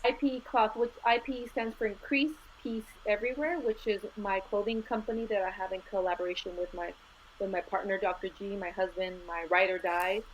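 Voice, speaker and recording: A middle-aged woman from Los Angeles, a school administrator, speaking as an interview guest in an earnest, measured way, dropping in Spanish words. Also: mid-range voice, fairly even intonation. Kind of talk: conversational interview. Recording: slightly dull lo-fi audio with faint noise.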